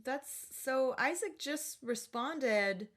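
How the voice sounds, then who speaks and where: A woman's voice: high voice, animated, extremely slow, a bit bright. A woman co-hosting a podcast in casual banter, with a teasing, giggly way of talking. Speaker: podcast co-host.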